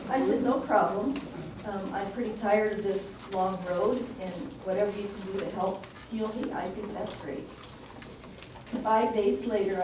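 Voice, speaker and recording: A woman in her sixties with a soft voice, telling a personal story in a casual, conversational talk to a church audience. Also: mid-range voice. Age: 60s. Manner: conversational, personal anecdotes with filler "um". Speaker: nurse practitioner speaking as guest presenter. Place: church hall. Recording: echoey hall from far off, background noise.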